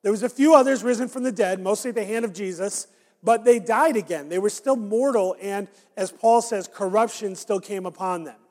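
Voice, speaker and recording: A man preaching a sermon as pastor, his voice raspy and hoarse from a raw throat, talking conversationally with frequent fillers. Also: high-pitched, a little fast, darkish tone. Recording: long hall reverb.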